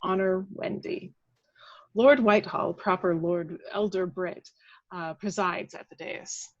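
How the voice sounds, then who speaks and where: A woman's voice: fairly low pitch, fairly even intonation, takes it slowly, no room sound. A woman reading dramatic action descriptions as scripted narration at a table read, on a lo-fi recording.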